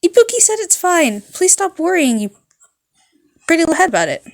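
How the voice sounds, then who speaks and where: A woman's voice: very high voice, sing-song, fairly quick, voice pushed up, full character voice. A woman voicing a bubbly, kind teenage rock-star girl with a breezy, reassuring manner, in a story reading. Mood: cheerful, reassuring. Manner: breezy, reassuring, speaks of herself by name.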